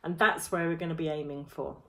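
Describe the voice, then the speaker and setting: Friendly female choir coach, thirties, lower voice, relaxed teaching tone, laptop-mic home recording. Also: brisk. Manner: friendly, demonstrative, touching throat and jaw while explaining. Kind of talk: vocal warm-up lesson to camera. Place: small room at home, indoors.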